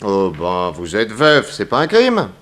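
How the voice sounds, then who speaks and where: An actor playing a firm, sceptical police detective who presses suspects sardonically in a 1960s French radio serial. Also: male voice, on the low side, wildly swooping pitch, unhurried, a bit bright, full character voice. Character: insistent, coolly sceptical male police detective interrogating suspects.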